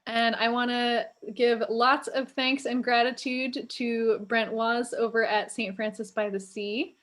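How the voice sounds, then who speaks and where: A woman hosting an online community event with an informal welcome, speaking in a somewhat halting way. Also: fairly high pitch, flat delivery, a bit bright. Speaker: host, assistant library director.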